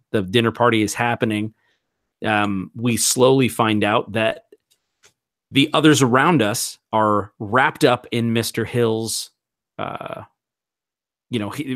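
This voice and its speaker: A man playing a roleplaying game, improvising his story in a halting, deliberate way as he thinks aloud. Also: medium pitch, a bit bright, no room sound, clean studio sound.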